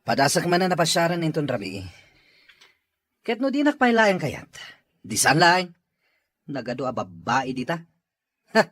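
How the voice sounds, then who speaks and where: Guy acting eager young suitor in radio drama, lively highish voice, no room sound. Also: moderate pace, long pauses, no echo, heavily acted. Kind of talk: Ilocano audio drama acting.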